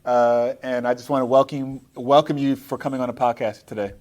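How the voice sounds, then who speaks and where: Man, podcast host, medium pitch, interview style, hesitant halting phrasing. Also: level tone, a little mellow. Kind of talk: interview, live podcast recording. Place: at a conference in Seattle.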